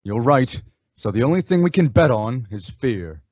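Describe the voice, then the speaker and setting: A calm, resolute Old West lawman, acted by a man at a middling pitch. He speaks in measured radio-drama style, on a dry and slightly dull recording. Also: dry recording. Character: calm, determined male Old West sheriff. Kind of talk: old-time radio western acting. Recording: dull lo-fi audio.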